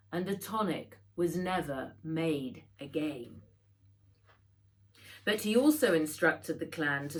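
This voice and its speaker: A woman reading a storybook as a solemn clansman who guards his clan's history. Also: fairly low pitch. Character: Jamuka, solemn Mongolian clansman telling clan history.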